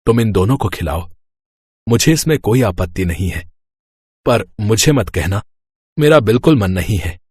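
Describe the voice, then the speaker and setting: A man playing a devout, stubborn, gentle husband who speaks earnestly and insistently in a moral story. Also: fairly low pitch, lively intonation, normal speed, long pauses, breathy edge, no room sound, studio quality. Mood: stubborn, hurt.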